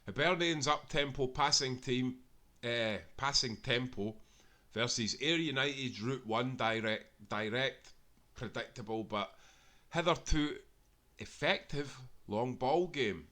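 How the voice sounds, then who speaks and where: A man's voice: mid-range voice, unhurried, pushed and bright. A Scottish man reading aloud a football history tale, earnestly and with small self-corrections along the way. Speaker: narrator.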